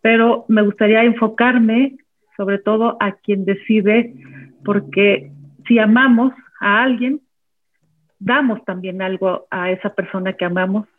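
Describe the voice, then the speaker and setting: A woman speaking in an earnest, calm, reflective way as a guest with a therapy background. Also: medium pitch, a little slow. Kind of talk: reflective talk. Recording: tinny phone line.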